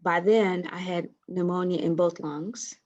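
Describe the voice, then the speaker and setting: A middle-aged woman speaking softly as a guest in a casual interview over a video call. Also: lowish voice, fairly even intonation, unhurried, dull audio. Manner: soft-spoken here, though loud by nature. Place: small room indoors. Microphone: webcam on a video call.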